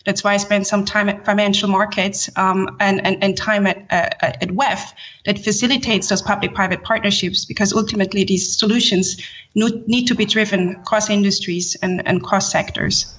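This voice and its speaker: A woman's voice: mid-range voice, steady tone, moderate pace, fairly bright tone. Woman guest on a dull, band-limited line. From born in Haiti, grew up in Switzerland. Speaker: podcast guest, ESG executive. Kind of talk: explanatory interview talk in professional terms.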